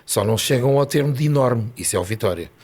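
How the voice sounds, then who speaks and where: A man from Setúbal with a European Portuguese accent talks as a podcast guest, making his points in animated, emphatic bursts. He speaks quickly in a slightly husky voice. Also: mid-range voice. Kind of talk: casual chat.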